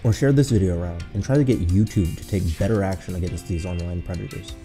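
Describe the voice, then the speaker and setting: A man's voice: deep voice, quick pace, dark tone. A man co-hosting a scripted video essay, speaking in an earnest, explanatory way. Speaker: co-host of a channel. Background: background music.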